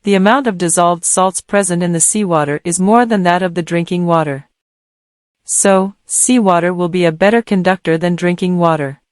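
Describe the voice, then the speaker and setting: A woman's voice: lowish voice, normal speed, no room sound, studio quality. A woman reading short academic passages aloud as exam practice.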